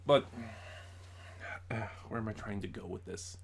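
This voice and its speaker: A man's voice: on the low side, fairly even intonation, measured pace, vocal fry, slight background noise. A man reviewing in an informal commentary style, thinking aloud and correcting himself as he goes. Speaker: audio drama reviewer.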